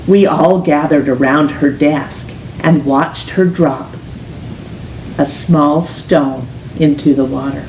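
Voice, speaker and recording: A woman reading a children's picture book aloud in an expressive, gentle, deliberate storytelling manner, with drawn-out emphasis on words. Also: sits low. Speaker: storytime reader, teacher. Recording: roomy, distant mic with faint hiss.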